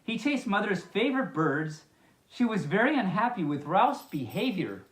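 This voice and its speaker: A woman reading a picture book aloud, breaking off for playful, chatty, halting asides. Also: medium pitch, big pitch swings. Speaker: storyteller, host. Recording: echoey, reverberant room sound.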